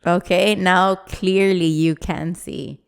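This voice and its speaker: A woman's voice: fairly low pitch, steady tone, slow, gravelly, smooth. A young woman co-hosting a casual podcast, teasing and prompting her co-host.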